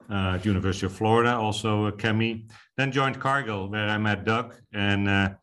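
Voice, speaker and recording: A man from the Netherlands with a Dutch accent, introducing himself as a webinar guest in quick, halting speech full of uhs. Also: lowish voice, a bit bright. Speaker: guest expert.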